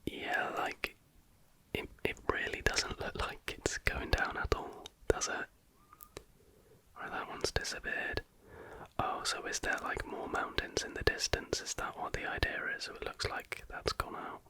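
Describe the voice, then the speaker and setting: A British ASMR host whispering casual, chatty reaction commentary in a hushed, informal, rambling way. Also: a little slow.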